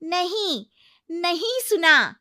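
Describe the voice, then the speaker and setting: A woman's voice: very high voice, sing-song, bright, forceful tone, no echo, studio quality, heavily acted. A woman voicing a sassy, nagging young daughter-in-law in a comic Hindi family cartoon, arguing with sarcastic comebacks. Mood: exasperated, snappy. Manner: argumentative, sarcastic, quick comebacks.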